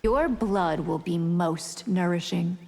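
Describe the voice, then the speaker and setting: A woman's voice: fairly low pitch, slow pace, a little mellow. An actress playing a cold, bloodthirsty female vampire warrior in a video game, taunting and predatory. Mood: cold menace. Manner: menacing, taunting. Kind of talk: video game character acting. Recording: very noisy, long reverb, game arena.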